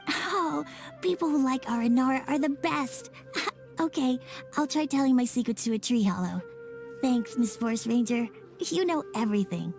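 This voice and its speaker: Shy, high-voiced, creaky little child. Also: female voice, normal speed, a little mellow. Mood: brightened, grateful. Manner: hesitant, small-voiced, well-mannered. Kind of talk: animated video game voice acting. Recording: faint music behind the voice.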